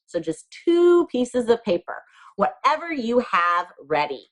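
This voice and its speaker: A woman's voice: on the high side, big pitch swings, unhurried. A woman teacher giving a step-by-step craft lesson to young children, explaining things simply and patiently.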